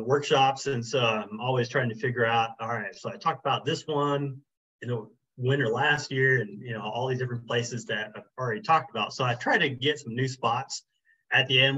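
A middle-aged man presenting a birding workshop over a video call, relaxed and casual, with many fillers like um and you know. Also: mid-range voice, normal speed, husky. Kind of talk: casual online workshop talk. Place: small room indoors. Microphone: video call webcam. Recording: slightly muffled video call audio.

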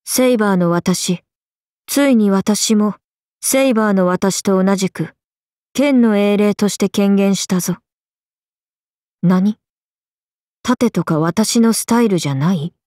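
A woman voicing an earnest, airheaded, stoic young swordswoman in an anime game, speaking in a plain deadpan way. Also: mid-range voice, slow pace, long pauses, no room sound, studio quality, lightly acted. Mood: proud, matter-of-fact. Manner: deadpan, plain, slightly blunt. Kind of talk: anime game character voice acting.

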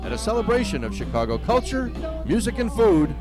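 A man's voice: sits high, very animated, a little fast, music in the background. A man announcing a scripted promotional voice-over.

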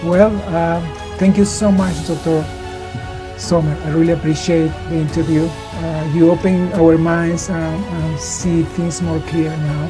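A middle-aged man from Peru with a Peruvian Spanish accent, hosting an interview over a video call, speaking haltingly with many filler sounds. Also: fairly high pitch, flat delivery, music in the background. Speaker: interview host. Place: home room with shelves. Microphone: studio mic on video call.

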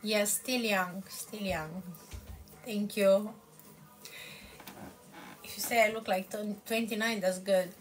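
A woman's voice: mid-range voice, fairly even intonation, slow, pauses often, soft background music. A woman in her thirties from Moldova with a Romanian accent, chatting casually with viewers on a livestream from her bedroom, answering comments. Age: 30s. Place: bedroom in front of padded headboard, indoors. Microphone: phone camera at arm's length.

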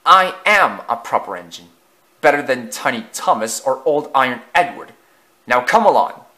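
A man's voice: on the high side, expressive, slow, fairly bright tone, pitched above the performer's own voice. A man voicing a haughty, snobbish engine. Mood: indignant. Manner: haughty, indignant hissing. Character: proud, snobbish male express engine.

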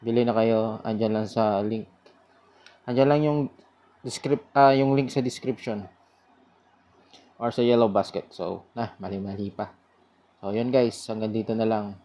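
A man giving a casual product review in an informal, sales-pitch manner. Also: fairly even intonation, unhurried, long pauses, mellow.

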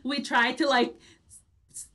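A woman's voice: sits high, little pitch movement, unhurried, fairly bright tone. A woman co-hosting a casual chat, talking in a chatty way and slipping between English and Tagalog. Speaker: co-host. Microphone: video call.

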